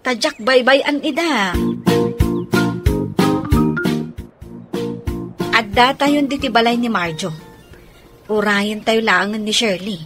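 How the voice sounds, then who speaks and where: A woman playing a concerned, protective adult in a radio drama, speaking in a reassuring, measured way. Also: on the high side, over music, close to an everyday voice.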